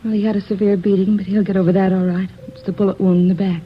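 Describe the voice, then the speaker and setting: An actress playing a brisk, businesslike woman staff worker in 1950s radio drama acting on an old recording. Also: medium pitch, flat delivery, highs cut off, a little hiss or hum, close to an everyday voice. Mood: grave.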